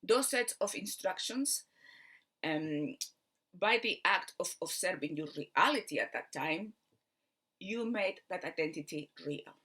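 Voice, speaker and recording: A woman with a Spanish accent speaks in a bright, clear tone, sitting a little low in her range. She talks like a mind coach giving a spiritual lesson, earnest and patient, pausing on fillers as she explains things step by step. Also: lively intonation. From Spain. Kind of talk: spiritual teaching talk.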